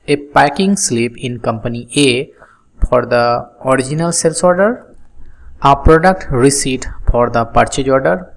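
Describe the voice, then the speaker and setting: Tutorial instructor, medium-pitched voice, explanatory and methodical, with halting phrasing. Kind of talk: explanatory software tutorial lecture with examples.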